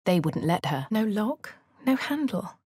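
A woman with a posh English accent speaking a game hero's lines and first-person narration in a measured way. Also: mid-range voice, very animated, a little mellow, breathy edge, no room sound, clean studio sound. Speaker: player character voice. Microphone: studio mic.

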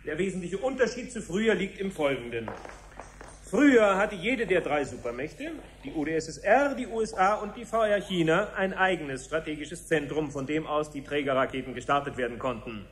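A brisk official played by a man with a high-pitched voice, in a 1970s radio play. He explains in formal, orderly sentences, as in a briefing. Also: lively intonation, a little hiss or hum, lightly acted. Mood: formal, explanatory. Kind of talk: 1970s German radio drama acting.

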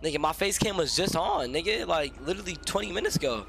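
A man's voice: high-pitched, lively intonation, fast talker, gravelly, a bit bright, slight background noise. An American man talking casually as a game streamer, slangy and swearing heavily, mixing roleplay with asides to his chat.

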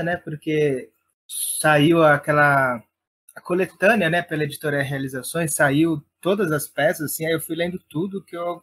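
A man's voice: on the high side, a bit bright. A man talks informally in an interview. He sounds enthusiastic about what he does. There is some noise behind him. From Brazil. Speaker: guest, playwright and theatre director. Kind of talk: casual interview talk.

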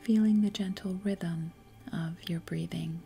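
A woman leading a guided meditation in a soft, breathy, gentle and soothing way, with unhurried pauses. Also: fairly low pitch, steady tone. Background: faint music.

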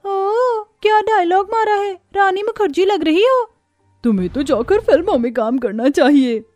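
A woman puts on a sneering young village gossip for a cartoon tale. The voice is very high and teasing, mocking the poor, with music underneath. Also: expressive, normal speed, darkish tone, no echo.

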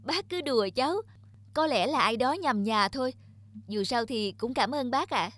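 A woman's voice: on the high side, lively intonation, normal speed, a bit bright. A woman voicing a polite, curious and caring young woman in a cartoon. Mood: polite, puzzled. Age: young adult. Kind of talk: cartoon voice acting.

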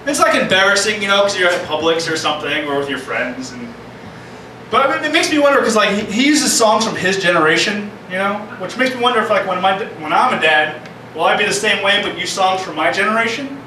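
A fairly high-voiced young man telling deadpan puns on a small club stage. His handheld mic is caught by a far-off camera, so the sound is roomy and hollow. A steady hum of background noise sits under the voice. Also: fast. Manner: deadpan punning, sings snatches of songs. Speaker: stand-up comedian and interview guest. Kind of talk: stand-up set with puns, then casual interview. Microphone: handheld mic, filmed by a far camera.

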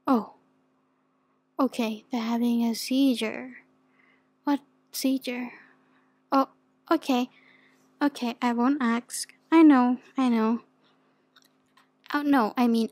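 Woman playing a soft, slow-talking naive demon girl. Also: on the high side, dry recording. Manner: hesitant, innocent, gently questioning. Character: soft, naive, insecure young female demon. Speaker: ASMR roleplay performer. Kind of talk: soft ASMR roleplay.

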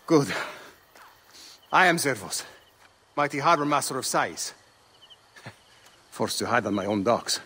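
An actor playing a boastful, embittered harbor master and smuggler in a video game, husky and indignant. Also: male voice, medium pitch, expressive, takes it slowly, long pauses, sharp tone, long reverb tail, slight background noise. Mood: proud, wry. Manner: theatrical, indignant. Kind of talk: video game cutscene acting.

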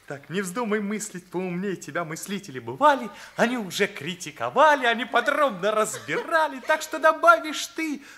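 High-voiced cocky schoolboy ringleader. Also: male voice, very animated, moderate pace, hardly any pauses, pushed and bright, full character voice. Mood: playful, declaiming. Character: cocky, witty teenage schoolboy ringleader Sergei. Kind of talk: Soviet radio play acting.

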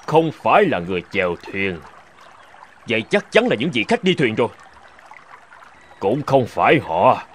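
An actor playing a calm old male hermit sage who chides in a measured, knowing way. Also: mid-range voice, a little hiss or hum, close to an everyday voice. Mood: calm admonishing. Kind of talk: Vietnamese cartoon dubbing.

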